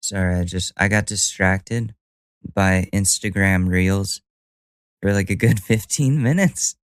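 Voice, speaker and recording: A man's voice: sits low, measured pace, clear, resonant tone, no room sound, clean studio sound. A young man hosting a solo podcast, talking casually with a stuffy nose, rambling and joking at his own expense with the odd swear word. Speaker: podcast host.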